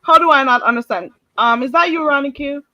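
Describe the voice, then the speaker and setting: A woman's voice: high voice, brisk, bright, forceful tone, no room sound. A woman from the Caribbean hosting a casual live chat, firm and often cutting in. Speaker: host of a live audio room. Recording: dull, dry lo-fi sound.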